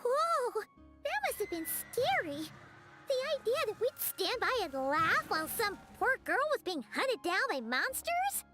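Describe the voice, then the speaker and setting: Game fairy companion: childlike, squeaky, sky-high pitch, chirpy and blunt, faint music underneath. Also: female voice, very animated, unhurried, exaggerated voice. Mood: friendly, concerned. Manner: speaks of herself in the third person, blunt. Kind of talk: anime-style video game voice acting.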